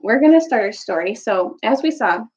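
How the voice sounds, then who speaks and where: A woman speaking as a teacher and storyteller in a casual, chatty way, halting and often restarting her phrases. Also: on the high side, expressive, darkish tone. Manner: halting, informal, self-interrupting. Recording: dull lo-fi recording.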